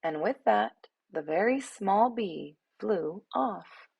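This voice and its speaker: A woman's voice: mid-range voice, expressive, takes it slowly, gravelly, husky, no room sound, highs cut off. A woman reading a children's picture book aloud expressively, as a storyteller. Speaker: read-aloud host, storyteller.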